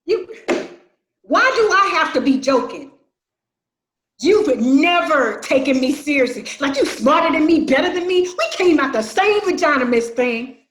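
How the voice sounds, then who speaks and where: An actress playing a brash, resentful grown sister in a play reading, with a sassy, combative, slangy delivery. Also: female voice, high voice, very animated, a little slow, fairly bright tone, echoey room, heavily acted. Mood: bitter, offended. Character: brash, defensive, resentful grown sister from Detroit, quick to snap.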